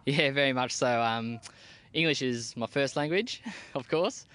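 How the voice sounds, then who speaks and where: A young Australian man, an army team leader, answering interview questions outdoors in a plain-spoken, relaxed way with casual slang. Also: medium pitch, measured pace, smooth. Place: outdoors at a military base.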